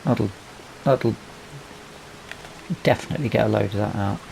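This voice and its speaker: A man giving casual let's play commentary, thinking aloud to himself in a gravelly voice. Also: lowish voice, normal speed, a little mellow. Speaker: gaming video host. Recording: noisy, rain and vehicle sounds. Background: farming game rain and vehicle noise.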